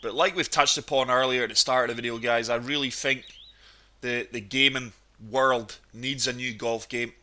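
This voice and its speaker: A man's voice: mid-range voice, fairly even intonation, moderate pace, sharp tone. A man with a cold, chatting enthusiastically through golf gameplay commentary. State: has a cold, sniffly. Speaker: gaming channel host.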